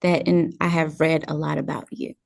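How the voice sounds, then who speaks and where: Young woman interviewer with vocal fry. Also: low-pitched, little pitch movement, normal speed, darkish tone. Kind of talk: casual interview questions.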